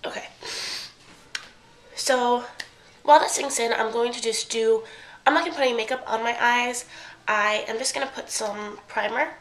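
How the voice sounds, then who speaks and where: A woman's voice: a little high, steady tone, normal speed, long pauses. A young woman with a stuffy, congested voice, chatting casually through a makeup tutorial and often correcting herself mid-sentence. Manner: chatty, rambling, self-correcting. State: congested from a cold. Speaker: beauty vlogger.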